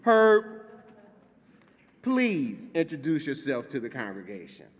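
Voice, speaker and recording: A man playing the straight man in a comic announcement skit, often halting and restarting. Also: on the high side, big pitch swings. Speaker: congregation member making an announcement. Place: large church sanctuary. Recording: long hall reverb.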